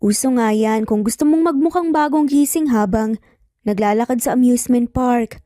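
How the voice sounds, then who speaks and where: A woman's voice: on the high side, normal speed, mellow, studio quality, lightly acted. A woman voicing an affectionate mother of young children in a story, playfully scolding and indulgent. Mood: teasing. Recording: faint music, roomy echo.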